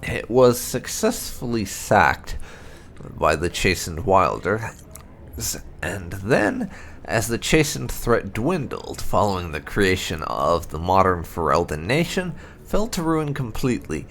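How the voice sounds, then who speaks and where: Low-voiced man reading fantasy lore expressively. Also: big pitch swings, slow pace, a bit bright, a little hiss or hum. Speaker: gaming channel host reading game lore aloud.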